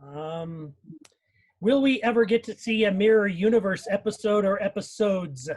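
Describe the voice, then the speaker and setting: A man's voice: sits high, expressive, moderate pace, a little mellow. A man hosting a casual live question-and-answer session, putting questions to a panel. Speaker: host putting questions to a cast.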